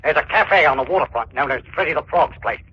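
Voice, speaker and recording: An actor playing a sly waterfront crook and informant, with colloquial talk, in 1940s radio thriller style. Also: male voice, a little high, expressive, fast, slightly rough. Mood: sly, insinuating. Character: shady waterfront underworld informant called Freddy the Frog. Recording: dull, noisy 1940s radio recording.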